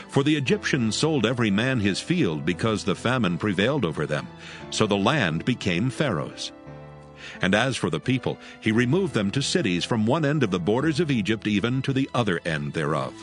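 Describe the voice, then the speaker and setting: A man's voice: on the low side, very animated, normal speed. A man narrating a dramatized scripture story from a script. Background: faint music.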